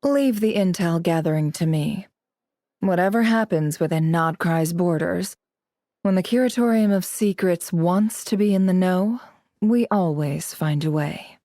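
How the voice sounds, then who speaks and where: A self-assured spymaster with a low, mellow voice and a dry, close sound. She speaks with quiet confidence. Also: unhurried, no room sound. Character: confident spymaster of an intel guild. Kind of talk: video game dialogue.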